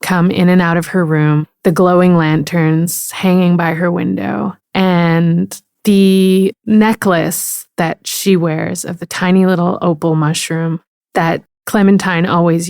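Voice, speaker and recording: Slow-paced woman with a lowish voice. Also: flat delivery, creaky, a little mellow, dry recording, studio quality. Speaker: tabletop game player. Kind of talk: descriptive in-character storytelling.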